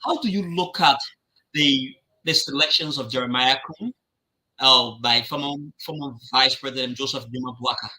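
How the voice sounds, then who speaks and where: Bright-voiced radio host. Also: male voice, mid-range voice, normal speed, low-quality recording. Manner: probing, stumbles and restarts his questions. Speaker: radio talk show host. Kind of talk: interviewing.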